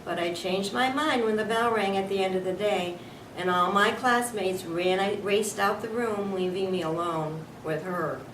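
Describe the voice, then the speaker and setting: A woman's voice: medium pitch, moderate pace, fairly bright tone. A woman reading a children's storybook aloud as scripted narration. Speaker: storybook reader. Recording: echoey room, distant mic, background noise.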